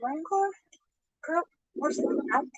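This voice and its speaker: Woman streamer, high voice, rambling chatty greetings, dry close sound. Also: moderate pace, no echo, highs cut off. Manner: rambling, greets viewers, laughs often. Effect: sped-altered playback. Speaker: livestreamer. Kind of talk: casual live-stream chat.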